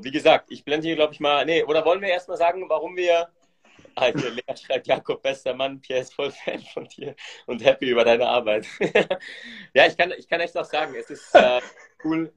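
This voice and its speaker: A man with a Swiss German accent hosts a live stream over a video call. He sounds upbeat and enthusiastic, with a medium-pitched voice. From Switzerland. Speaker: host. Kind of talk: casual live-stream chat.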